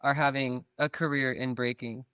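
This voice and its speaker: A middle-aged man, a guest dancer, talking in a relaxed, thoughtful way with lots of "like" and "you know". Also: medium pitch, normal speed, clear, resonant tone, no echo, studio quality. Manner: relaxed, thoughtful, uses "like" a lot. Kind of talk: reflective interview talk.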